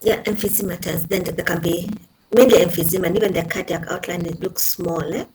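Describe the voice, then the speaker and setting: A woman's voice: lowish voice, steady tone, a little fast. A woman teacher questioning and prompting a trainee in an informal tutorial discussion. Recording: distant microphone with background noise.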